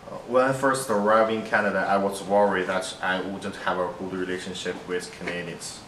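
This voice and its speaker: Really deep flat-voiced guy reading lines, far off in a noisy room. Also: fairly quick, echoey room. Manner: monotone prepared statements. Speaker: international student.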